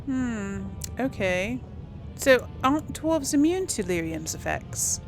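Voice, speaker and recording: A woman's voice: on the high side, sing-song, takes it slowly, music in the background. A woman hosting a casual gaming let's play, thinking aloud in a hesitant, conversational way. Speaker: gaming video host.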